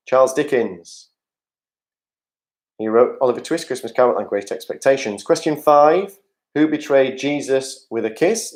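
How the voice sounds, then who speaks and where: A man's voice: mid-range voice, darkish tone. Guy running a virtual pub quiz, reads answers out, cracks jokes, video call sound. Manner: jokey, chatty asides to players, reads questions aloud. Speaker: quiz host.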